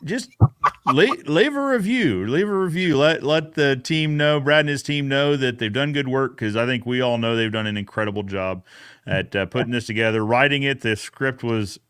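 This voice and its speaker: A man's voice: medium pitch, normal speed, fairly bright tone, clean tone. A man hosting a casual recap chat, talking conversationally and addressing the viewers directly. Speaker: YouTube host.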